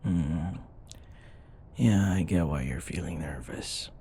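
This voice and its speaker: A man's voice: low voice, slow, warm tone, slight background noise. A man acting a grumpy, sarcastic office rival who grudgingly cares, in an intimate, blunt, conversational roleplay style. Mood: soft, concerned. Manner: exasperated, blunt, conversational, sighing.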